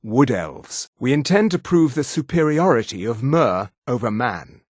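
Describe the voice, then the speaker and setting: An actor voicing a haughty, sneering high elf official in a fantasy video game, speaking in a pompous, condescending, precise manner. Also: male voice, big pitch swings, a little slow, darkish tone, no room sound, clean studio sound. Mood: haughty, proud. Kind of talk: scripted fantasy game dialogue.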